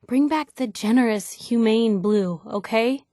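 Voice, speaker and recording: A woman's voice: on the high side, measured pace, warm tone, no room sound, studio quality. An actress with an American accent playing a feisty, nosy young woman reporter as a game companion, wry, snappy, informal and emotionally direct. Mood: stern, disappointed. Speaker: video game companion character. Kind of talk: acted game dialogue.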